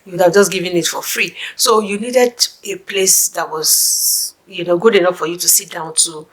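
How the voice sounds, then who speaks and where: A woman's voice: lowish voice, steady tone, normal speed. A middle-aged woman from Nigeria with a Nigerian accent, chatting casually to camera as a vlogger for immigrants. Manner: chatty, musing, with asides and restarts.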